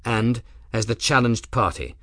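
A man voicing a calm, steely male airship captain who speaks quietly and levelly. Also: mid-range voice, a little slow, dry recording, studio quality. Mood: cold calm.

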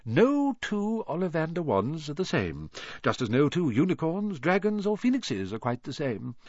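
A man voicing an eccentric, intense old wandmaker in an audiobook, soft-voiced and musing, savouring each detail. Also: on the high side, big pitch swings, moderate pace, dry recording, muffled recording, voice pushed up. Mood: instructive.

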